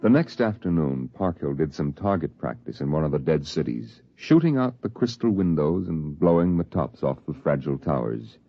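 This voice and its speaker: An actor as a grave literary storyteller, narrating a 1950s radio drama in a measured, solemn manner. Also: male voice, a bass voice, sing-song, faint music behind, full character voice. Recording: dull vintage 1950s radio recording.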